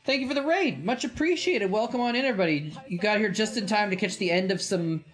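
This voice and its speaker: A slightly high-voiced man hosting a live stream in a loose, rambling way. He chats to viewers between moments of the game, gets worked up when the action turns, and laughs easily. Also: quick pace, a bit bright, rough recording. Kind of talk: casual live-stream commentary and chat.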